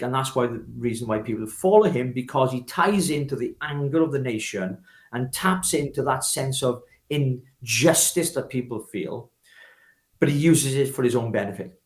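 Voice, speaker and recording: A man's voice: mid-range voice, normal speed, reverberant. A Welsh man speaking off the cuff as a political interview guest, emphatic and oratorical, leaning on repetition and rhetorical questions. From Wales. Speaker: political activist guest, former parliamentary candidate. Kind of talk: spontaneous political interview talk.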